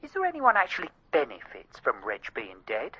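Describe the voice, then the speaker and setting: A man playing a chatty, excitable English friend on the phone in a radio drama, gossipy and incredulous. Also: on the high side, a little fast, slightly rough, highs cut off, exaggerated voice. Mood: curious, concerned.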